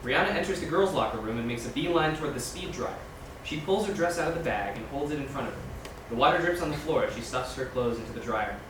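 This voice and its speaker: A man's voice: mid-range voice, animated, fast. A man reading scene directions aloud as scripted narration at a screenplay table read. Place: large echoey room, far from mic. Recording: echoey, noisy room from far off.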